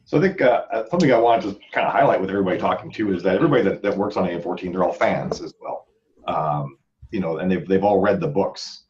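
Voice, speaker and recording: A man with a very deep voice chats casually as a podcast host. He talks quickly and at length, rambling from point to point. The sound is slightly dull. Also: crowd noise. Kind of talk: casual group podcast chat.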